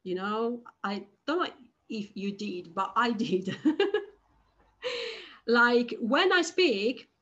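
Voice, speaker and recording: A woman guest on a podcast, speaking slowly and hesitantly, with frequent restarts and repeated words. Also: on the high side, expressive, a bit bright, highs cut off. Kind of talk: conversational interview.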